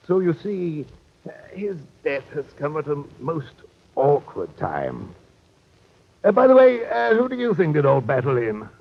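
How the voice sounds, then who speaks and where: An actor playing a pompous, scheming colonial captain in an old radio drama, with florid, blustering speech. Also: male voice, on the high side, wildly swooping pitch, unhurried, vocal fry, exaggerated voice. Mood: smug, scheming. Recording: dull lo-fi old radio recording.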